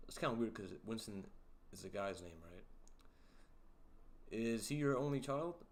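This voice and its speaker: A young man hosting a casual livestream, reading chat aloud and joking around, with a deep voice. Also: lively intonation, unhurried. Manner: playful, jokey, sings snippets and reads chat aloud. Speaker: livestream host.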